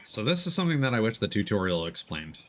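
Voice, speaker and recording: Male game streamer, slightly deep voice, easygoing explainer, casual play-by-play over some game noise. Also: big pitch swings, quick pace, fairly bright tone. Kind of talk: casual gameplay commentary, explaining as they play. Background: video game sound.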